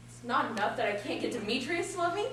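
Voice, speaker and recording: A woman's voice: high voice, animated, quick pace. A woman playing an insecure, lovelorn young woman in a stage play, emotional and pleading, recorded from far off in an echoey hall. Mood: hurt. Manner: emotional, pleading, self-pitying. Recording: echoey hall, distant mic, noisy.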